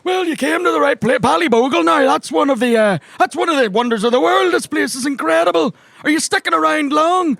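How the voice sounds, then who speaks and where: Very high-pitched Northern Irish barman. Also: expressive, brisk, vocal fry, few pauses, a bit bright, no room sound, exaggerated voice. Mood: chatty. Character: chatty male small-town barman, indignant but loyal. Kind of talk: improvised comedy role-play.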